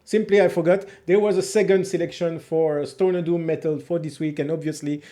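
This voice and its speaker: The host of a small fan review channel, a French man with a French accent and a high voice, eagerly and casually sharing his picks with subscribers. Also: fairly even intonation, brisk, dark tone. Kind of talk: casual video review, talking to viewers.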